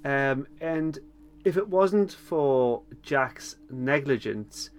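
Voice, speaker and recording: A man's voice: mid-range voice, lively intonation, very slow. A man in a casual podcast chat, making brief, dry, laid-back remarks.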